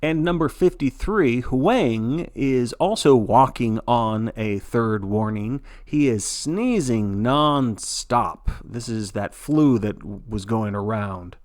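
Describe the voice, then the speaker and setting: Man playing a dramatic, folksy sports broadcaster, low-voiced. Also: lively intonation, no echo, clean studio sound. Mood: concerned. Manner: dramatic, folksy announcer delivery with suspenseful pauses. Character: earnest, folksy male sports broadcaster narrating a grim contest. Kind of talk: scripted play-by-play sports commentary.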